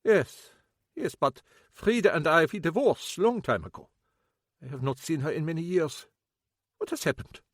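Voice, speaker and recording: A man voicing a gruff middle-aged German immigrant shopkeeper who speaks broken English in a loud, indignant way. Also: fairly high pitch, animated, normal speed, pauses often, no echo, studio quality, pitched above the performer's own voice. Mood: shaken.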